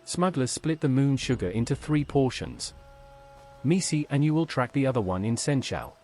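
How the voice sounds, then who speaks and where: Male actor as game adventurer: medium register, lively intonation, direct, helpful. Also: mid-range voice, moderate pace, faint music behind, lightly acted. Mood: matter-of-fact, curious. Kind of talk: video game character dialogue, AI-voiced.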